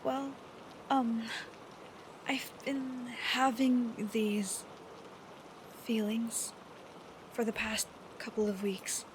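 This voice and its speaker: High female voice, young adult, affectionate and shy best friend acted by a woman, intimate audio roleplay, unhurried, rain sounds. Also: a little hiss or hum, poor audio. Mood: shy, confessional. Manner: soft, hesitant, giggly, affectionate. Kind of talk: intimate roleplay audio acting, girlfriend-experience monologue. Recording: steady rain sound effects behind voice.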